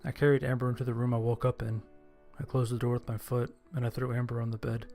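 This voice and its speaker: A man narrates a scripted first-person story. His voice is medium in pitch with little melody. The delivery is measured and understated, over faint background music. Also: flat delivery, dark tone. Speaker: narrator and voice actor.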